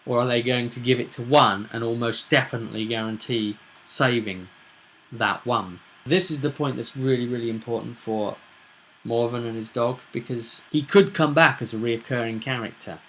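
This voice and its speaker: Male game master, mid-pitched voice, casual storytelling recap, halting delivery with weighty pauses. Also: a bit bright, slight background noise, rough recording.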